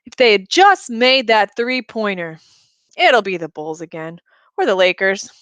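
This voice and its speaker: A woman's voice: mid-range voice, very animated, measured pace, fairly bright tone, smooth, dry recording. A woman playing a detached, businesslike surgeon in a story, talking in a clipped, matter-of-fact way. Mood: casual, detached.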